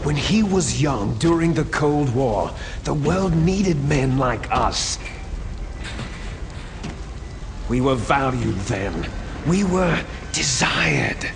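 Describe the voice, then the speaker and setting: Grandiose British villain, wildly swooping voice. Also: male voice, medium pitch, creaky, heavily acted. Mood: grandiose, bitter. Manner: grandiose, taunting, drawn-out dramatic delivery. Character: theatrical, embittered warrior villain, clone soldier, proud and menacing. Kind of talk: video game cutscene acting. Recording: echoing hall, faint background noise.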